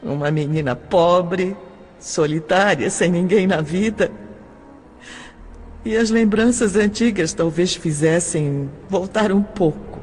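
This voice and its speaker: A woman's voice: lowish voice, slow, a little mellow. Woman acting lonely orphan girl, Brazilian, long reverb. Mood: sad, reflective. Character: lonely orphaned adopted girl, seventeen, wounded but polite. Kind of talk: audio drama acting, first-person recollection and dialogue. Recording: long hall reverb, music behind.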